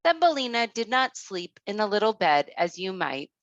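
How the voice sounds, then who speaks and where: A woman storyteller narrating a children's tale, expressive and careful in her read-aloud delivery. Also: mid-range voice, moderate pace, no room sound, highs cut off.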